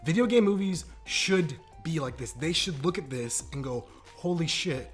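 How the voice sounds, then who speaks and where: A man's voice: fairly high pitch, animated, moderate pace. A man giving a casual, opinionated video review in an informal, emphatic, jokey and sweary way. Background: background music.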